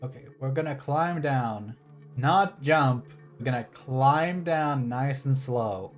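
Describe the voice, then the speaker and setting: A man's voice: medium pitch, slow, faint music behind. A man giving casual, rambling game commentary as a livestreamer, with a playful, wry manner.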